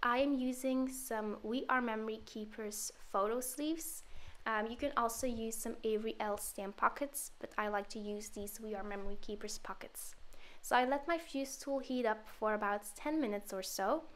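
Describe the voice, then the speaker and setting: A woman narrating a card-making tutorial in a relaxed, step-by-step way, with a slightly sore throat from a cold. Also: fairly high pitch, moderate pace. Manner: relaxed step-by-step explaining with fillers. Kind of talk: voice-over craft tutorial.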